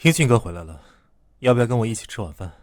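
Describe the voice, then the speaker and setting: An actor playing a possessive, coolly observant younger man in an animated drama, with a probing, clinical manner. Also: mid-range voice, racing, dry recording, clean studio sound, soft background music, natural voice. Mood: sly, playful.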